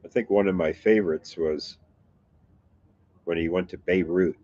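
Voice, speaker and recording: A man's voice: deep voice, mellow, dull audio. A man from the United States hosting a casual chat with viewers, sounding a little nasal from allergies and rambling with frequent restarts. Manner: rambling, halting, self-correcting. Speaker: YouTube reaction channel host. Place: home in a Mexican town.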